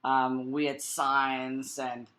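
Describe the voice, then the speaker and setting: A man with a South African accent and a medium-pitched voice speaks as an interview guest. His first language is Creole Portuguese. He talks conversationally and reflectively, building earnest personal stories through emphatic repetition. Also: monotone, extremely slow, sharp tone. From South Africa and Cape Verde. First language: Cape Verdean Creole Portuguese.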